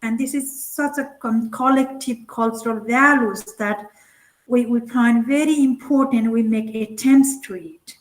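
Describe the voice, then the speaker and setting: Casting: middle-aged woman from Nepal, second-language English, slightly high voice, earnest keynote speaker with plenty of "um" and restarts. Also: unhurried, low-quality recording. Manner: earnest, many fillers and restarts. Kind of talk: conference keynote talk.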